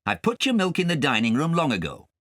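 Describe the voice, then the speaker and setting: A man's voice: fairly high pitch, wildly swooping pitch, quick pace, a bit bright, no echo, clean studio sound, pitched above the performer's own voice. A male narrator voicing a busy, cross kitchen maid who grumbles and nags, in a storybook reading. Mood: cross, nagging. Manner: grumbling, nagging.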